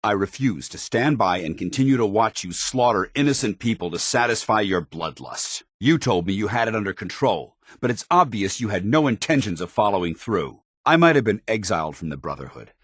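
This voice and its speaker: An actor playing a stern, duty-bound military commander in a video game, speaking formally with clipped authority. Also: male voice, lowish voice, animated, brisk, hardly any pauses, breathy edge, dry recording, studio quality. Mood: stern, angry. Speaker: commanding officer. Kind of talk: scripted video game dialogue.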